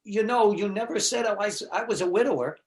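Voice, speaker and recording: A man's voice: sits high, fairly quick, lightly acted. A man in a comedy reading, playing a neurotic, insecure widower who stammers and frets about dating. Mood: anxious. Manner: stammering, anxious, self-deprecating. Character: neurotic, insecure, fretful widower facing a date. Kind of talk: comedy readers theater.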